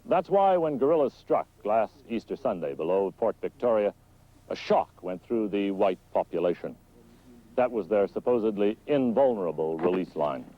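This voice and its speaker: A man's voice: on the low side, lively intonation, moderate pace, muffled recording. A man reading a scripted news report as a reporter, on 1970s newsreel film sound.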